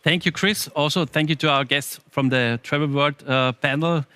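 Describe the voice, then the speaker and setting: A man presenting as host of an online business event, speaking in a hesitant, halting way. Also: fairly high pitch, steady tone, pushed and bright, smooth, dry recording. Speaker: show host.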